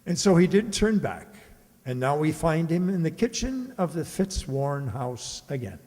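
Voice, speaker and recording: A man playing the narrator of a children's radio play, telling the tale in a theatrical, deliberate way. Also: fairly high pitch, very animated, a little mellow. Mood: storytelling. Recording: hall-like reverberant stage sound.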